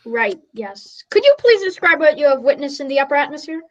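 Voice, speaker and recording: A woman playing a flustered, bumbling radio news reporter who speaks haltingly in a mock live interview. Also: sits high, big pitch swings, highs cut off. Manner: halting, stumbling over words.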